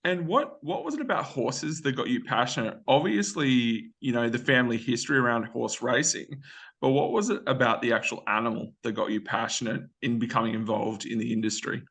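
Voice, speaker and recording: A man's voice: medium pitch, lively intonation, gravelly, fairly bright tone, slightly rough. Man hosting an interview. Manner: brisk, affirming with frequent yeahs. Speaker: host.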